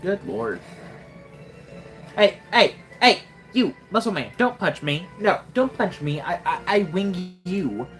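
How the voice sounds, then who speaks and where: A woman's voice: lowish voice, animated, takes it slowly, faint music behind. A woman in her twenties casually commenting on her gameplay during a live stream, wry and joking, often exclaiming to herself. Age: 20s.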